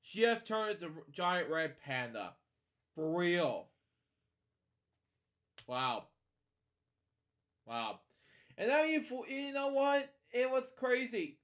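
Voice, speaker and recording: A man with a fairly high voice hosts a casual storybook read-along. He wanders off into opinionated asides and speaks straight to his listeners. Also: very animated, slow pace, long pauses, fairly bright tone. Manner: digressive, opinionated commentary addressed to listeners. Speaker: podcast host.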